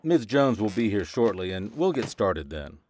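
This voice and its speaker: A man's voice: lowish voice, big pitch swings, moderate pace, darkish tone, a little hiss or hum. An American man playing a courteous, tactful elementary school principal in an audio drama. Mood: courteous, formal.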